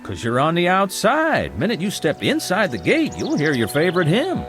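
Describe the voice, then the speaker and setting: Casting: folksy, coaxing afterlife gatekeeper, Southern backwoods accent, high and very animated, faint music behind. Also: male voice. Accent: rural Southern American. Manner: folksy, coaxing, drawn-out phrasing. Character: folksy, wheedling male gatekeeper of a false heaven. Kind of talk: vintage television drama acting.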